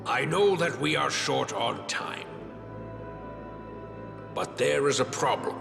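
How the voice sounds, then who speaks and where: An actor playing a gruff, loyal veteran blacksmith in a fantasy game, with a gravelly voice and solemn, formal delivery. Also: male voice, mid-range voice. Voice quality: gravelly, creaky. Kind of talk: fantasy video game voice acting. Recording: echoey hall reverb, music behind.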